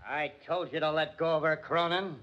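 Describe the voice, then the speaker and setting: An actor playing a gruff, commanding police lieutenant in 1940s radio drama style. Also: male voice, a little high, fairly even intonation, moderate pace, muffled recording, natural voice. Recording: noisy vintage 1940s radio recording.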